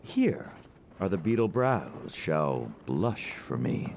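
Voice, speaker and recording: A man acting a playful young gallant whose voice swings dramatically at an unhurried, very slow pace. He is witty, bawdy and theatrical in Shakespearean verse, with faint noise behind. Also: low-pitched, big pitch swings, creaky, a little mellow, exaggerated voice. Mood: teasing, playful. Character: flamboyant, teasing young gentleman, witty and bawdy friend. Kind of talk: Shakespearean audio play acting.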